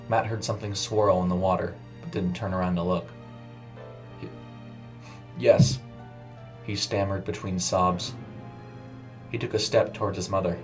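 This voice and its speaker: A low-voiced man reads a horror short story as its narrator. His delivery is dramatic and scripted, and music plays beneath the voice. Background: music under the voice.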